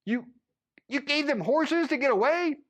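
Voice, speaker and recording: A man's voice: extremely high pitch, animated, unhurried, fairly bright tone, voice pushed up, heavily acted. A man voicing a fussy, prying male town official who questions people indignantly.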